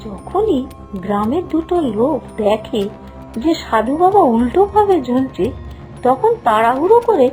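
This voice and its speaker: High, lively woman storyteller. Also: measured pace. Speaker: narrator. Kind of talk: scripted children's story narration. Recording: music behind the voice. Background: background music.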